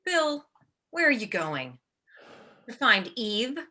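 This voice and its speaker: Husky, sharp-edged proud stage diva played by an actress. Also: female voice, fairly high pitch, very animated, slow, exaggerated voice. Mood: desperate. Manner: theatrical, sarcastic, emphatic delivery. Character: proud, paranoid, wounded theatrical leading actress. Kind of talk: radio play acting of classic film dialogue.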